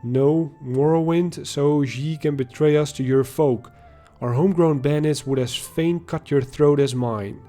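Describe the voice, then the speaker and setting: Brief: a man playing a gruff one-armed army sergeant in an audiobook, folksy, low and chuckling. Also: a little slow, few pauses, mellow, faint music behind, natural voice. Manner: rough folksy dialect, chuckling.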